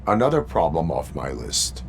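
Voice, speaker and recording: A stern cyborg cop, speaking in a clipped, mechanical way with an extremely deep voice that still moves up and down. He sounds like a video game cutscene character. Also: moderate pace, gravelly, a little hiss or hum, exaggerated voice. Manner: flat, clipped, mechanical delivery. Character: stern cyborg police officer, male adult.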